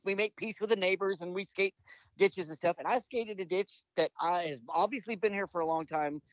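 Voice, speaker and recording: A man's voice: sits high, fairly quick, dry recording, muffled recording. Rambling, upbeat man in his fifties. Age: 50s. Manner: rambling, enthusiastic, trailing restarts. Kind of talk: casual podcast conversation.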